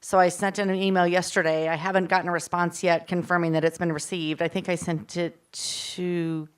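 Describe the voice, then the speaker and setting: A woman with a smooth, lowish voice, a little away from the mic. She asks questions informally as an agent in a meeting audience. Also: level tone, brisk. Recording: slightly distant from the mic.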